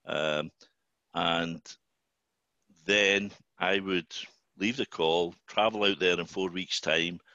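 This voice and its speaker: Deep-voiced older Glasgow man. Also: sharp tone, no room sound, dull audio. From Scotland. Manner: chatty, repeats words when starting sentences. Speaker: guest speaker, company executive. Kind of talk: informal talk to students.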